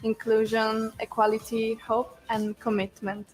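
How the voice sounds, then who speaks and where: Flat-toned young woman from Italy. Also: fairly high pitch, unhurried, rough recording. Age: 20s. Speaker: pageant contestant. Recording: music behind.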